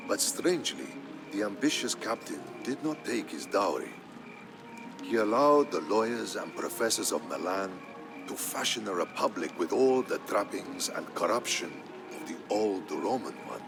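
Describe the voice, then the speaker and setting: A man narrating a historical tale in a scripted, dramatic style, solemn and measured. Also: on the low side, sing-song, vocal fry. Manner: solemn, measured storytelling delivery. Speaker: narrator of a strategy game campaign story. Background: background music.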